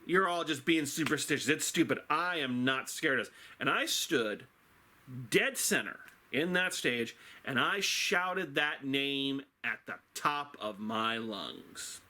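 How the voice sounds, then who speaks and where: A middle-aged man telling an anecdote like a drama teacher, theatrical and wry, with dramatic pauses and emphatic delivery. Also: mid-range voice, animated, sharp tone. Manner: self-deprecating, wry.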